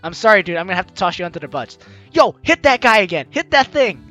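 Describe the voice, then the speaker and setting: Theatrical, swooping, bright-voiced man gaming host. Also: sits high, big pitch swings, quick pace, lo-fi sound, soft background music. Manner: theatrical, jokey, exclamatory. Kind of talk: let's play, reading game text aloud with excited commentary.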